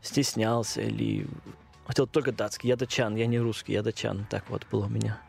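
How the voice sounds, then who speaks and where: A man speaking with a strong Danish accent, a guest chatting casually in an interview and pausing often with fillers. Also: lowish voice, steady tone, creaky, a little mellow, breathy edge, faint music behind. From Denmark. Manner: hesitant, many pauses and fillers.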